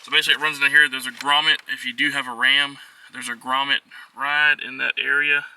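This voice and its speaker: A man's voice: mid-range voice, little pitch movement, fairly quick, pushed and bright. A man giving a casual, unscripted how-to walkthrough as a vlogger, talking in an offhand, hesitant way with trailing phrases. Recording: noisy on-location vlog audio.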